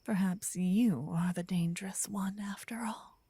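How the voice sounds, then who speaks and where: A woman playing a gentle, possessive, lovestruck vampire in a soft, intimate, breathy ASMR whisper, close to the ear. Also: medium pitch, fairly even intonation, no room sound. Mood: tender, yearning. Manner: intimate, slow, teasing. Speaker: ASMR roleplay performer. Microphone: close binaural ear-to-ear microphone.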